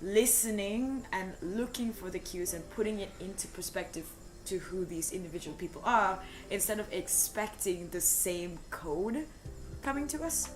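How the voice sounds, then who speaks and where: A woman co-hosting a live stream, explaining her ideas in a relaxed, thoughtful way with frequent fillers and easy laughs. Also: medium pitch, normal speed, poor audio. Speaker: co-host of a language stream. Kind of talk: casual discussion on a live stream. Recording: music playing behind the talk.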